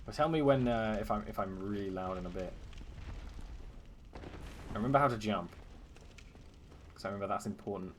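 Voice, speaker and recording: A man's voice: on the low side, moderate pace, long pauses, a little hiss or hum. A young man streaming his first run of a game, chatting casually and joking at his own expense. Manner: playful, jokey, self-mocking. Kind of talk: casual gameplay commentary.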